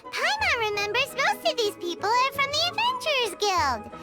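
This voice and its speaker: A woman voicing a tiny, excitable, childlike fairy companion in a video game, squeaky and blunt, speaking in exclamations. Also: normal speed, loud music behind the voice, heavily acted. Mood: curious. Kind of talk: video game character acting.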